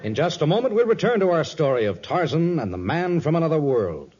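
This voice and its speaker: Male radio serial narrator; dramatic, scripted, very animated; muffled vintage 1950s sound, faint music behind. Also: fairly high pitch, a little fast, slightly rough. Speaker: announcer and narrator.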